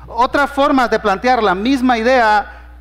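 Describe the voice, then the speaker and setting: A pastor with a Honduran Spanish accent and a notably high voice for a man. He preaches in an easygoing, conversational way, telling humorous stories and acting out the dialogue. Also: measured pace, a bit bright, big-room sound. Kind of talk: sermon with storytelling.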